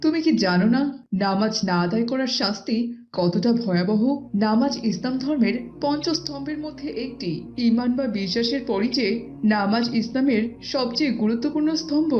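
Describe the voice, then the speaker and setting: A devout young boy from a moral cartoon, acted out by a woman. He is earnest and instructive, with a high voice. The audio is a little dull. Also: close to an everyday voice. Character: devout young boy lecturing his friend. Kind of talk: Islamic moral cartoon dialogue.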